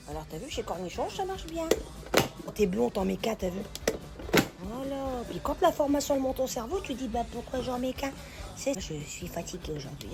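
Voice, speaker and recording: A woman talks through a recipe in a chatty, familiar way, her voice hoarse and gravelly. She keeps the viewer close with pet names, and music plays behind her. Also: mid-range voice. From northern France. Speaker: home cook. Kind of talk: casual cooking vlog chat. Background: background music.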